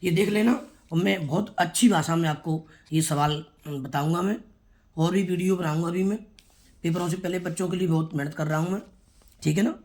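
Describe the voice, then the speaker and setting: A man teaching a school math lesson, with a sore throat he keeps clearing. He speaks straight to the children and repeats each step. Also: a little high, steady tone, fairly quick, a little mellow. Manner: repetitive, explains step by step, addresses the children directly. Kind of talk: classroom math lesson for students.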